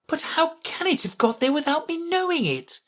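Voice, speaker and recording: A woman's voice: medium pitch, very animated, moderate pace, husky, pitched above the performer's own voice. A woman voicing an eager, polite, earnest little girl who likes to argue, in a children's storybook. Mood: excited wonder.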